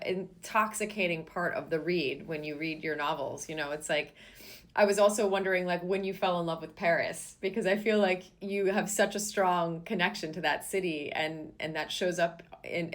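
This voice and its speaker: A woman's voice: lowish voice, moderate pace. A woman hosting a podcast, talking to her guest in an enthusiastic, gushing way with lots of "you know" and "like". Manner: enthusiastic, gushing, many fillers. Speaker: podcast host. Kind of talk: casual interview chat.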